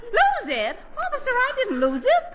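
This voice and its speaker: An actress playing a flustered, exasperated housewife in a 1940s radio comedy, on a muffled, noisy recording. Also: female voice, extremely high pitch, wildly swooping pitch, moderate pace, exaggerated voice. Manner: breathless protesting, agitated.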